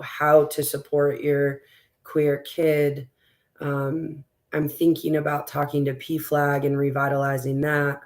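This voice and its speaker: A woman with a raspy, strained voice, a school board candidate talking as a guest in a relaxed interview, rambling with lots of fillers and restarts. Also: low-pitched. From West Texas. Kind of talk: casual conversational interview.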